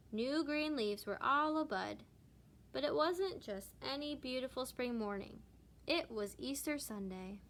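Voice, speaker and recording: A woman reading a children's story aloud, lingering deliberately on certain words. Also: on the high side, fairly bright tone. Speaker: storybook reader. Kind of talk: children's read-aloud narration.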